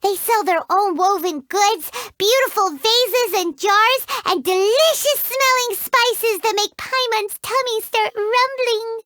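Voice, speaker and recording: A woman voicing a tiny, excitable, greedy female fairy companion in a game who talks about herself in the third person. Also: extremely high pitch, slow, few pauses, no echo, exaggerated voice. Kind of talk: game voice acting.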